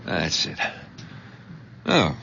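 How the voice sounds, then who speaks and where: An actor playing a concerned, puzzled husband in a 1970s American radio drama, speaking in an earnest, uneasy way. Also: male voice, extremely slow, pushed and bright. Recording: dull, faintly noisy old radio broadcast.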